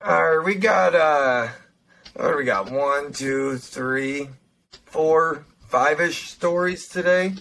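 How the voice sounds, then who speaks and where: A young man with a slightly raspy voice, co-hosting a casual podcast, chatting and reading out news with lots of pauses and fillers. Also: on the high side, fairly bright tone. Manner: halting, lots of fillers and restarts. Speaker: podcast co-host.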